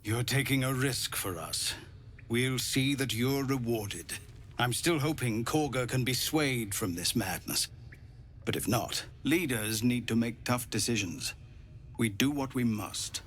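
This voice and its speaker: A man acting as a refugee leader in a fantasy game, with a medium-pitched voice. He speaks gravely and earnestly, with faint ambient noise behind him. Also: a little slow, fairly bright tone, lightly acted. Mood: grave, resolute. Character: grave refugee leader weighing hard choices. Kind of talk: dramatic fantasy game dialogue.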